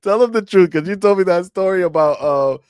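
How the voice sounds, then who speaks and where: A man's voice: high voice, brisk, a little mellow, clean tone, dry recording, clean studio sound. A man co-hosting a podcast, talking casually and animatedly with slang and fillers. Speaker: podcast co-host.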